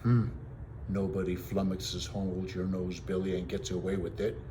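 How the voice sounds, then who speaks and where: A big, angry storybook outlaw as a man acts him out, greedy and bullying. He growls out threats in rough rustic slang, over faint noise on a home recording. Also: sits low, level tone, moderate pace, lightly acted. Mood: angry, threatening.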